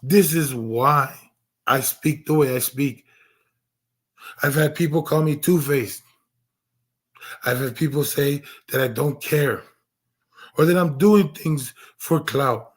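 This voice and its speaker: Hoarse man losing his voice. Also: on the high side, slow, pauses often. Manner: earnest, rambling with many restarts and fillers. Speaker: streamer. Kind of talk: casual livestream monologue.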